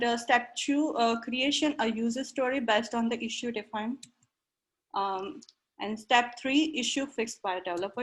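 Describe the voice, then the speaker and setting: A woman presenting on software testing at a coding school graduation, going through her points one by one in order. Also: on the high side.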